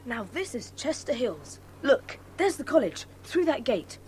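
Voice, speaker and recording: An eager, high-voiced adventurous schoolboy. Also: female voice, sing-song, a little mellow, soft background music, poor audio. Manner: brisk, earnest, old-fashioned schoolboy slang. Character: bold, eager, adventurous schoolboy leading his friend. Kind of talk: dramatised children's audiobook acting.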